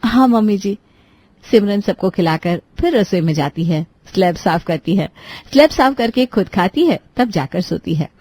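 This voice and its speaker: A woman's voice: lowish voice, expressive, normal speed, darkish tone, no echo. A woman reading scripted narration for a children's moral story.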